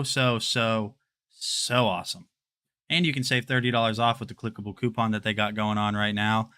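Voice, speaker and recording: A man in his twenties talks to his livestream chat in a loose, rambling way, trailing off and restarting as he moves through his house. His voice is bright and forward. The sound is dry, with little room echo. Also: mid-range voice. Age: 20s. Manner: rambling, chatty, addresses chat. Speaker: livestream host. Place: home, walking through rooms.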